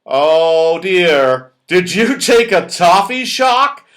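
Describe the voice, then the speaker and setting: A man's voice: high voice, sing-song, extremely slow, fairly bright tone, voice pushed up, heavily acted. A man voicing a jolly, kindly magical big-faced man in a children's storybook, hearty and exclaiming. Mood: apologetic.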